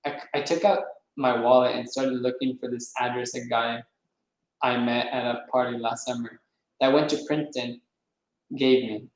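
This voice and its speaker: A man's voice: medium pitch, steady tone, normal speed. A man reading a novel aloud, now and then stumbling over words and correcting himself. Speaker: reader. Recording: roomy echo.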